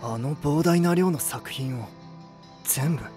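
A man's voice: a little high, slow, mellow, soft background music. A male actor playing a cool young band musician in an anime voice drama. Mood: questioning.

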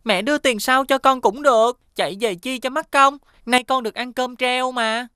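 A woman's voice: high-pitched, normal speed, bright, forceful tone, clear, resonant tone, no room sound, clean studio sound. A woman voicing an eager little schoolboy in a children's cartoon, with childlike, exclamatory phrasing. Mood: carefree.

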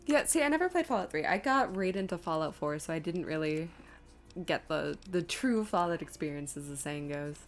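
Low-pitched woman chatting through a game stream. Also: expressive, brisk, soft background music. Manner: chatty, thinking aloud to herself and viewers. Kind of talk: casual live gameplay commentary.

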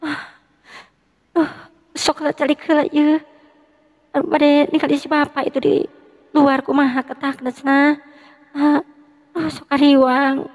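A man voicing a frantic, tearful older village woman in a high, wailing falsetto, drawn out and pleading. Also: little pitch movement, measured pace, long pauses, in a large hall.

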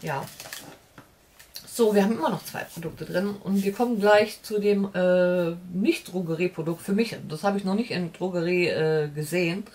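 A woman's voice: fairly low pitch, moderate pace, a little mellow, recorded from a distance. A woman in her forties chatting casually through a beauty box unboxing at home, rambling with lots of fillers and restarts. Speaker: beauty vlogger. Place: home room with shelves and warm lamps.

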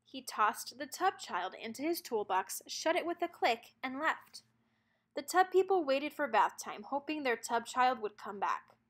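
A woman narrating a children's storybook aloud in an expressive read-aloud style. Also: high voice, measured pace.

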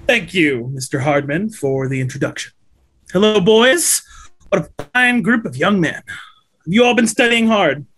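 A man's voice: sits high, lively intonation, a little slow, a bit bright, heavily acted. A man in his thirties playing a slick, upbeat corporate representative lecturing schoolboys at a script table read. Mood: chipper. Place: indoors at home on a video call.

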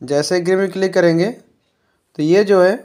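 A man calmly walking viewers through a phone reset step by step, often filling pauses with "jo hai". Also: high-pitched. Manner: unhurried, explanatory, fills pauses with "jo hai". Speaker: tech tutorial presenter.

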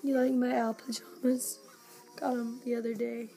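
A woman with a hoarse, coughing voice, chatting casually to camera in a nursery at home and cooing softly over a baby doll. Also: on the high side, level tone, moderate pace. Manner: gushing, coos softly to the doll. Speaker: reborn doll collector vlogger. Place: home nursery room, indoors. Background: Christmas music playing.

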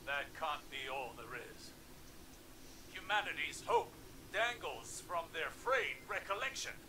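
A brash, impatient mage, sharp and demanding, with a fairly high voice for a man. He sounds a little distant from the mic, with noise beneath. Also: expressive, unhurried, full character voice. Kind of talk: video game cutscene voice acting. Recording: distant voice with noisy capture.

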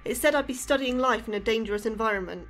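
Actress doing a nervy lady academic, slightly high, hesitant, audio drama with some music behind. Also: fast, a bit bright, lightly acted. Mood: hesitant, wary. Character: anxious woman astrobiologist, a drifting academic. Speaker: actor. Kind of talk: naturalistic audio drama acting.

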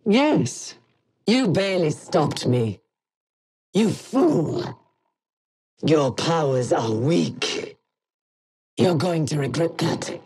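A woman's voice: deep voice, big pitch swings, a little mellow, breathy edge, exaggerated voice. A woman voicing a menacing imperial hunter in a video game, taunting her prey with cold contempt. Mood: scornful. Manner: slow, contemptuous, coldly threatening. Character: menacing helmeted female villain, cold imperial Jedi hunter.

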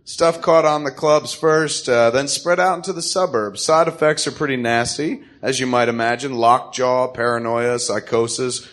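A man's voice: mid-range voice, fairly even intonation, moderate pace, hardly any pauses, a bit bright, natural voice. A man playing a wry, matter-of-fact hired killer in a comic-book radio drama reading, speaking casually. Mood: explanatory. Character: gruff, businesslike male hired killer, grimly wry.

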